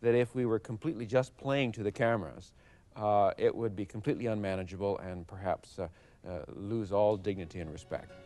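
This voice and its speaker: A man's voice: on the low side, fairly quick, mellow. A middle-aged man answering interview questions thoughtfully, with hesitations and restarts. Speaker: member of parliament interviewed.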